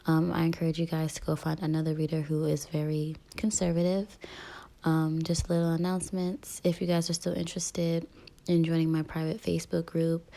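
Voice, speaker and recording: A woman giving a casual daily tarot reading in a raspy, soft, half-whispered voice, with many ums, sounding a little rough from allergies. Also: low-pitched. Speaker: tarot reader.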